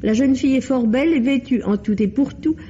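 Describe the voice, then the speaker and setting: A woman's voice: high-pitched, fairly quick, warm tone. A woman giving a scripted book review on 1950s radio, with formal, precise phrasing. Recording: dull, faintly noisy vintage radio recording.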